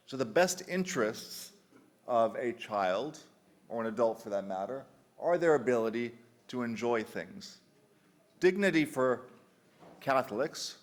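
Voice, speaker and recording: Man in his thirties, conference speaker; easygoing delivery, wry asides, halting restarts. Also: medium pitch. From United Kingdom. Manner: conversational, frequent restarts and ums, dry humor. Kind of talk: conference presentation. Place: conference hall.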